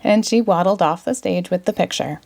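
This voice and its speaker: A woman's voice: medium pitch, normal speed, gravelly, darkish tone. A woman narrating a children's storybook as a read-aloud. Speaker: story reader.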